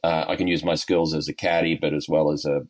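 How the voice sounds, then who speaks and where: Guest spot: very low-voiced Australian man, middle-aged, laid-back and chatty, heavy on fillers. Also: level tone, quick pace. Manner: easygoing, lots of ums and uhs, chuckles often. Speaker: golf coach and guest. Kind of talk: podcast interview, relaxed storytelling.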